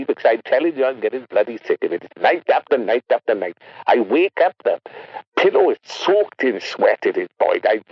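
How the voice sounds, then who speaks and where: A man playing a rambling South Welsh caller with a husky voice who keeps calling people boy and repeats himself. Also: medium pitch, no echo, dull audio, exaggerated voice. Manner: rambling, repetitive, calls everyone boy. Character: rambling Welsh male caller haunted by a sausage nightmare.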